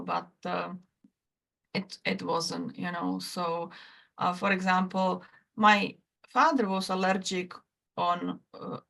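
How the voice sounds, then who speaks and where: Czech-accented woman, low gravelly voice. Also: a bit bright. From former Czechoslovakia. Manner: halting, many restarts and fillers, non-native grammar. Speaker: guest, trauma therapist. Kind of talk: casual interview talk.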